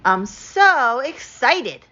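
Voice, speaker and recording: A woman voicing an eager, excitable skunk assistant from a picture book, gushing with drawn-out exclamations. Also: high voice, highs cut off, voice pushed up, heavily acted. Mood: excited.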